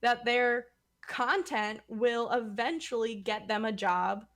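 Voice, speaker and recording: A woman's voice: fairly high pitch, fairly even intonation, slow pace, a bit bright. A woman guest on a streaming talk show, chatting casually and rambling with many fillers. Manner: rambling, many likes and ums. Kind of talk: casual podcast chat.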